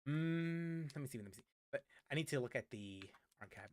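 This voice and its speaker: A man's voice: medium pitch, expressive, fairly quick. A man streaming casual game chat, rambling and often repeating his phrases for emphasis. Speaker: gaming streamer answering viewers. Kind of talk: casual livestream chat about games.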